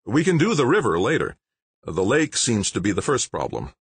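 A man's voice: medium pitch, wildly swooping pitch, moderate pace, husky, dry recording, voice pushed up, exaggerated voice. A man voicing a nervous, flustered male city engineer. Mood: anxious.

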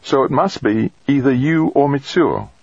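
Friendly, lilting woman, voiced by a man, pitched up. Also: expressive, normal speed, creaky, a little mellow, husky, dry recording, dull audio. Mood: probing. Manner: breezy and colloquial, calling people mate. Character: adult woman colleague, friendly, cheerful, thoughtful. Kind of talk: audiobook character dialogue.

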